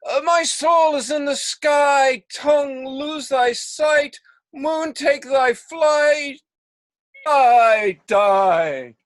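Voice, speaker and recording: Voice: high-pitched, extremely slow, dry recording. An amateur actor playing a melodramatic lovesick stage hero, declaiming with bombastic grief over a home video call. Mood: dramatic dying. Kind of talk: hammy amateur stage acting.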